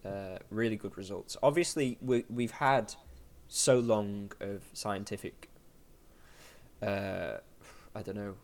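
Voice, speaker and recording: A man's voice: lowish voice, slow pace. A man hosting a casual podcast chat, rambling with lots of fillers and restarts. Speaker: podcast host.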